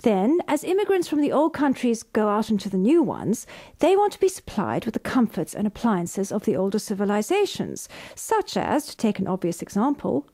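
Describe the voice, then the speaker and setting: A woman voice artist reading scripted narration in a measured, formal way. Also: medium pitch, very animated, mellow.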